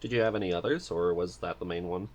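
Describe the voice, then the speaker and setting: Lowish-voiced man hosting casually. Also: quick pace, a little mellow. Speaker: podcast host, interviewer. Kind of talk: casual chat.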